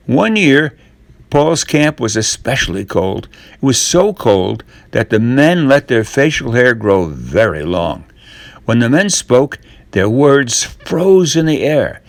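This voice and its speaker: Older male storyteller for children's tales. Slow, deliberate delivery, lively intonation, dramatic pauses. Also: mid-range voice, no echo. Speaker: storyteller reading a tall tale.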